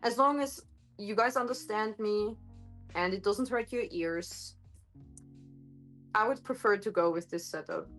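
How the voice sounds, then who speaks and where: A woman streamer talks at a middle pitch in a loose, rambling way, stopping to correct herself and filling gaps constantly. Her voice sounds a bit gruff today. She is recorded on a phone in a kitchen with hard countertops, and faint music plays behind her. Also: unhurried, pauses often, fairly bright tone. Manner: rambling, many fillers and self-corrections. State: slightly gruff voice. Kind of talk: casual live-stream chat. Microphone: phone microphone.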